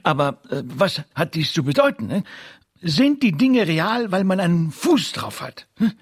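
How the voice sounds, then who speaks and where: Radio play professor: obsessive, stammering, pedantic, husky high-set voice, very animated. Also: male voice, a little slow, full character voice. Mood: puzzled, pondering. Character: muddled, obsessive professor pondering reality.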